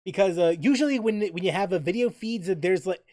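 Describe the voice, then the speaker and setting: High pitched guy streamer rambling casually, voice swings up and down, keeps restarting sentences, music behind him. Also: expressive, a little mellow. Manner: halting, often repeating and rephrasing himself mid-sentence. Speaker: livestream host.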